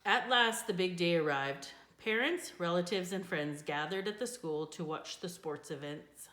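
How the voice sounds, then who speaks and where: A woman reading a children's book aloud in an expressive storytelling style. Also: fairly low pitch, unhurried. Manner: expressive, with character voices for dialogue. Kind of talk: scripted storybook narration, read aloud. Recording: echoey room.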